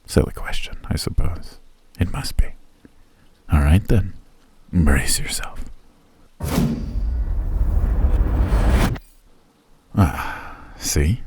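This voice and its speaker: A man voicing a reclusive, wealthy elf who talks in a genteel, teasing and softly menacing way, in an ASMR roleplay. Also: low voice, slow, a little hiss or hum. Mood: playful. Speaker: voice actor.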